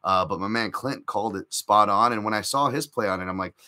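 A man's voice: fairly low pitch, fairly quick, bright, forceful tone. A man hosting a casual live-stream podcast, rambling through betting picks with lots of fillers and restarts while chatting with viewers. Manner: chatty, reacts to viewer comments. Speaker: podcast host.

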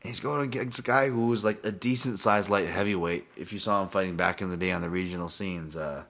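Low-voiced man with a Hawaiian accent. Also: fast talker, a bit bright. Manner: rambling, frequent restarts and casual swearing. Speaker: podcast host and fight analyst. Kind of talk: casual solo podcast breakdown.

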